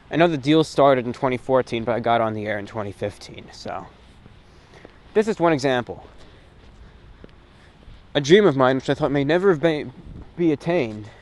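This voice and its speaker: A man hosting his own show, talking in a casual, reflective, rambling monologue of short phrases, recorded outdoors on a walk. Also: mid-range voice. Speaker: host of a radio and online show. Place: outdoors, recorded while walking. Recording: clear ambient noise behind the voice.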